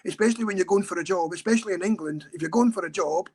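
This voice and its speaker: A man's voice: sits high, racing, a little mellow, husky. A middle-aged Scottish man telling a story in an earnest, urgent way. He talks a lot, in a casual podcast chat. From Scotland. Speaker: campaigner and guest.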